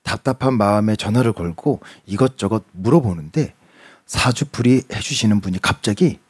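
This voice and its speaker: A man's voice: on the low side, moderate pace, slightly rough, no room sound, studio quality. A man with a Gyeongsang Korean accent, reading listener stories on a radio show and laughing often as he chats. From Gyeongsang region, Korea. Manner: animated storytelling, laughs often. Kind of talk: casual radio chat.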